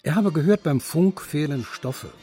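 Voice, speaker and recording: A man reading the narration of a radio documentary feature in a measured, clear way. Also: on the high side, expressive, mellow, soft background music.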